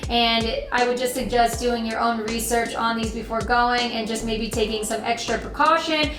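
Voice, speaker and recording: A woman's voice: a little high, steady tone, normal speed, distant mic. Earnest young American woman, echoey room. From United States. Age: young adult. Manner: earnest, rambling, repeats herself. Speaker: travel vlogger. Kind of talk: casual talk to camera. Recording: roomy echo, voice off-mic, music behind.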